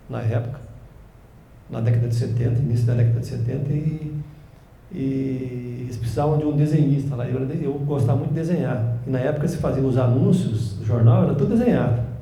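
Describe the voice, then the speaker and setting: Gravelly older Brazilian man reminiscing. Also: mid-range voice, mellow. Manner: rambling, halting storytelling with many restarts. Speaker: guest, journalist and storyteller. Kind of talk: relaxed interview conversation. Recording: echoey room, faint background noise.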